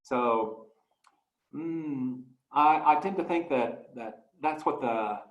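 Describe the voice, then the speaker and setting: Halting, reflective man in an echoey room. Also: medium pitch. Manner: halting, many fillers and restarts. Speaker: guest artist. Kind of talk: presenting artwork in a talk.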